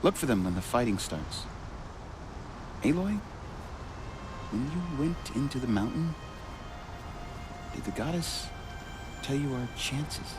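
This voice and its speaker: Young village ally, game cutscene: animated pitch, friendly, humble, gentle, music underneath. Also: male voice, takes it slowly, pauses often. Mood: hesitant, hopeful. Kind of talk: video game cutscene acting.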